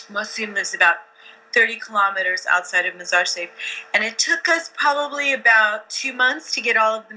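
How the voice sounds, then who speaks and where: A woman's voice: medium pitch, pushed and bright, a little hiss or hum, rough recording. A woman from Afghanistan who grew up in the States, a documentary filmmaker answering interview questions candidly, with fillers and restarts.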